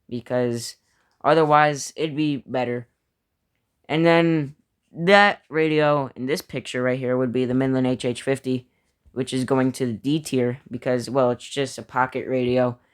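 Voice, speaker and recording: Clear-voiced man giving casual commentary. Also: medium pitch, fairly even intonation, moderate pace. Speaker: hobbyist video creator. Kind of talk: casual spoken ranking commentary.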